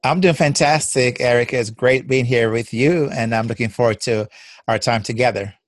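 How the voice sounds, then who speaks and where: A man with a Jamaican accent gives reflective, encouraging advice as a guest on an interview show, laughing easily between points. His voice sits at a middle pitch and is recorded dry and close. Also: normal speed, dry recording. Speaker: personal development coach.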